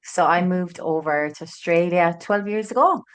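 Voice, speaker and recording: A woman's voice: lowish voice, moderate pace, clear, resonant tone. A woman in her late thirties with a County Kerry Irish accent, a podcast guest telling her story in a chatty, rambling way. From Ireland. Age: late 30s. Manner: chatty, warm storyteller with frequent "like" and "um". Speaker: guest author. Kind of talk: casual podcast interview. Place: studio in person with host.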